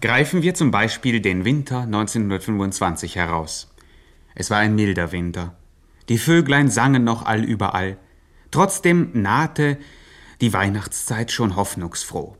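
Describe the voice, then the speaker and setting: An actor playing a pedantic co-narrator in a satirical radio play, formal and deadpan. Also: male voice, fairly low pitch, a little slow. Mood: dry, deadpan.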